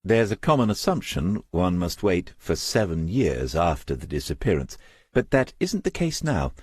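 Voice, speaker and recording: A man's voice: low-pitched, very animated, moderate pace, breathy edge, dry recording, voice pushed up, full character voice. A man voicing a young corporate insurance woman in an audiobook, with a polite, formal, matter-of-fact manner. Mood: informative, polite.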